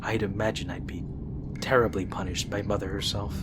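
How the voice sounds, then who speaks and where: A man's voice: fairly low pitch, steady tone, a little fast, very noisy, close to an everyday voice. A man playing a principled, exasperated younger-brother vampire prince in an audio roleplay, speaking in an earnest, reproachful way. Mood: dry, evasive.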